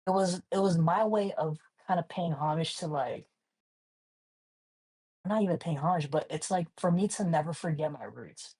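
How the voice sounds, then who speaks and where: A man's voice: a little high, normal speed, darkish tone, husky. A young man from Hawaii, a guest artist in a casual interview, talking in a laid-back, slangy way full of "like" and "you know".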